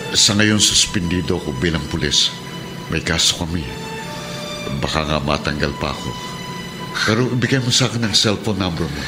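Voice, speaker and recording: An actor in a Filipino radio drama playing a subdued, respectful policeman and family man, measured and a little hesitant. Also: deep voice, animated, over music. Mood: serious, regretful.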